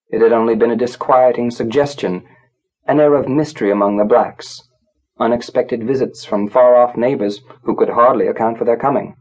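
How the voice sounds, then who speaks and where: A man narrating a literary story from a script, in a measured, grave, dramatic storytelling manner. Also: mid-range voice, a little mellow, slightly rough, poor audio. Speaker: audiobook narrator.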